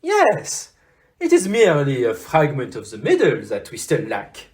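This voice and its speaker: An actor playing a courteous, shrewd foreign detective in an audio play, speaking formally with French exclamations. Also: male voice, medium pitch, huge pitch swings, a little slow. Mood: confident, shrewd.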